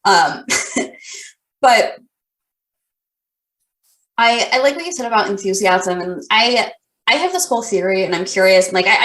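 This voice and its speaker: A woman's voice: medium pitch, a bit bright, roomy sound. A woman hosting a casual podcast interview, friendly and rambling, full of fillers and restarts. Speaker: podcast host.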